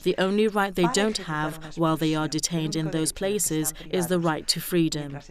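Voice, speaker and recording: A woman explaining things in a formal, measured way, as a legal expert in a documentary. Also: sits low, fairly even intonation. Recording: clear crowd noise behind.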